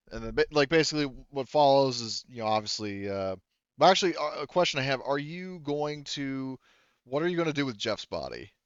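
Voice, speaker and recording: A man running a tabletop game as game master, improvising in a halting, rambling, filler-heavy way. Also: mid-range voice, clean tone, no room sound, highs cut off.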